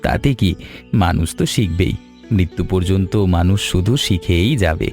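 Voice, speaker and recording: Man performing grieving wanderer, kind and grateful, low voice, humble earnest delivery, animated story, music behind. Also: moderate pace, vocal fry. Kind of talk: Bengali animated story dialogue.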